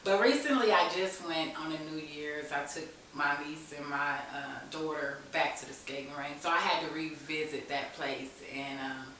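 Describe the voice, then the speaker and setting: A woman's voice: low voice, normal speed, few pauses, a bit bright. A woman speaking as an interview guest, telling her own story candidly and informally in conversation. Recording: very echoey room, distant mic, faint hiss.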